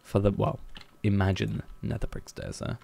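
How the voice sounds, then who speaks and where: A man's voice: fairly low pitch, flat delivery, normal speed, creaky. A man streaming a building game, thinking aloud in a hesitant, musing way as he plans. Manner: musing, hesitant, planning out loud.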